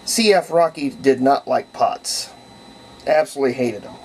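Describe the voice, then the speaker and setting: A man's voice: medium pitch, expressive, moderate pace, slight background noise. An American man giving a casual hands-on demonstration as a hobbyist, talking in a folksy, colloquial way and thinking aloud. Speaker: hobbyist demonstrating homemade radio.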